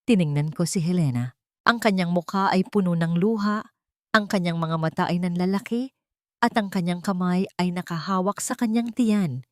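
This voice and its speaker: Low-voiced woman narrating a drama. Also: normal speed, no room sound, clean studio sound. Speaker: audio story narrator. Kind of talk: scripted dramatic story narration.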